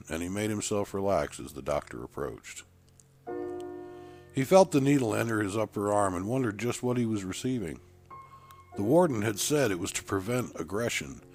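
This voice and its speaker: A man's voice: on the low side, a little mellow. A man reading a scripted horror story as a dramatic narrator.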